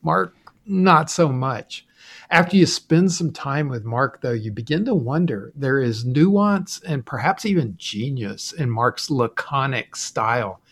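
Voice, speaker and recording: A man's voice: mid-range voice, expressive, a little slow, a bit bright, slightly rough. A man co-hosting a podcast, explaining Bible passages in a conversational, explanatory way and sometimes chuckling. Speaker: podcast co-host.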